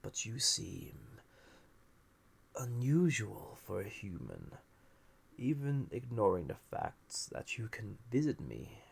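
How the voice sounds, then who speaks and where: Guy doing a flirty scheming villain, magical captive, really slow n gravelly, breathy half whispers, roleplay audio. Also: on the low side, wildly swooping pitch, full character voice. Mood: coaxing, flirty. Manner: teasing, theatrical, deliberate, often breathy half-whisper. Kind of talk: scripted roleplay audio acting.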